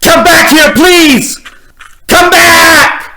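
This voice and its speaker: A man's voice: very high voice, steady tone, very slow, vocal fry, heavy background noise, full character voice. A man acting a haunted, desperate driver in an old radio suspense style, melodramatic and prone to stammering. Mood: desperate pleading. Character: frantic, haunted male driver on a lonely road.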